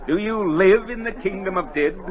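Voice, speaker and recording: A man's voice: sits high, normal speed, some chatter behind, lightly acted. An actor playing a fussy, inquisitive townsman of a storybook kingdom in 1940s radio drama style. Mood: fussy, excited. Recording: muffled 1940 radio recording.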